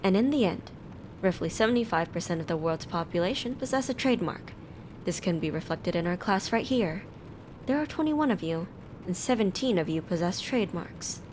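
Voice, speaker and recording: A woman's voice: lowish voice, animated, brisk, a little mellow, slight background noise, close to an everyday voice. A woman playing a firm, caring schoolteacher in an audio drama, composed and instructive.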